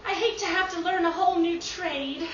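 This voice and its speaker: Very high-voiced, earnest woman playing a water seller. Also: normal speed, a little hiss or hum. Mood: earnest, proud. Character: wholesome, proud female water seller in dystopian musical. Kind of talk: musical theatre comedy acting. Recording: roomy, distant, muffled live stage recording. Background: music.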